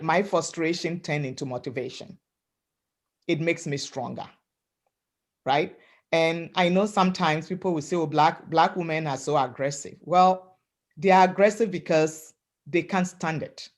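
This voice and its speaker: A woman from Africa speaking on a webinar panel, giving an emphatic motivational talk in a loud voice over a video call and often asking "right?". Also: sits low. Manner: loud voice, emphatic, repeats "right?" and "you know".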